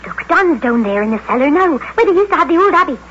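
Frightened gossipy girl, old radio drama, very high voice, rushed urgent chatter, colloquial, dull noisy recording. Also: big pitch swings, a bit bright. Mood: alarmed, confiding.

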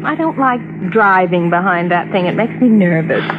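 An actress playing a nervous, frightened wife in a vintage radio drama. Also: female voice, fairly low pitch, expressive, normal speed, lightly acted. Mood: anxious. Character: nervous young wife riding in a car, frightened. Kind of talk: 1940s-50s radio drama acting. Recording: muffled old radio recording, loud car noise.

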